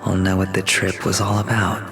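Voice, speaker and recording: In a long, cavernous reverb over music, a smooth young male gambler from a video game. His delivery is low, deliberate and theatrical, with a world-weary edge and dramatic pauses. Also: monotone. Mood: bitter, reflective. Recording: heavy echo, long reverb, music underneath.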